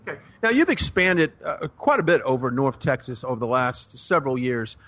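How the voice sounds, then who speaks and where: A man's voice: mid-range voice, lively intonation, brisk, a bit bright, highs cut off. A man hosting a radio talk show, leading his interview in a chatty way. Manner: chatty, leading questions with filler.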